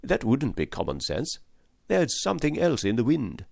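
A man reading an audiobook voices an excitable, voluble French manservant who talks fast and stubbornly. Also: medium pitch, gravelly, dry recording, studio quality, voice pushed up, exaggerated voice. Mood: confiding.

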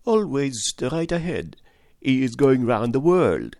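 A man reading an audiobook voices an excitable, voluble French manservant who talks fast and stubbornly. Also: mid-range voice, vocal fry, no room sound, clean studio sound, pitched above the performer's own voice, exaggerated voice. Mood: confiding.

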